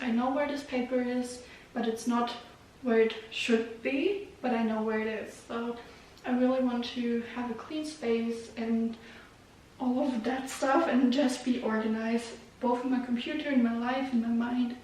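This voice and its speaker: A very reverberant, distant recording of a young woman with a fairly high voice, a native Russian speaker raised in Germany. She chats informally to her viewers in a self-deprecating, vlog-style way, with a little noise in the room. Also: a little mellow. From Germany, moved there when very young. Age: young adult. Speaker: YouTube vlogger. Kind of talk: casual chat to viewers. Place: echoey indoor room. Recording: very echoey room, distant mic, faint noise.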